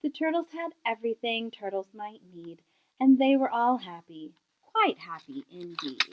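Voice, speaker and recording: High-pitched, animated woman reading a storybook. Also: normal speed, highs cut off. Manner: rhythmic verse delivery. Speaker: storybook reader. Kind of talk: expressive children's storybook narration.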